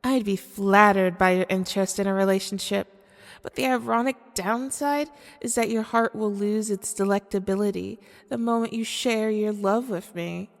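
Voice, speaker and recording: A woman playing a manipulative, seductive female demon in an ASMR audio roleplay, speaking formally and deliberately in a coaxing way. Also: medium pitch, creaky, natural voice. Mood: amused, taunting. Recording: long cavernous reverb.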